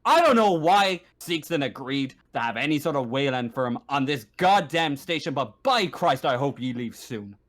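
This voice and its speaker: A man voicing a gruff, exasperated space station boss in a tabletop game, blustering and cursing. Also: medium pitch, lively intonation, moderate pace, few pauses, a bit bright, lightly acted. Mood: fed up.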